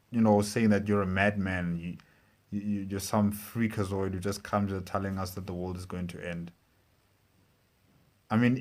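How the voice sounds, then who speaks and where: Man, very low voice, casual reaction commentary, halting, word repetitions, unscripted. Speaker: reaction video host.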